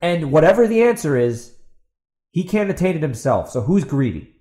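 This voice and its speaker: A man's voice: fairly high pitch, big pitch swings, normal speed, warm tone. A man hosting a solo podcast, ranting in a combative, exasperated and blunt way, repeating himself as he goes. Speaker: podcast host. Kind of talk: ranting solo commentary, partly reading out a post. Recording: roomy, echoey sound.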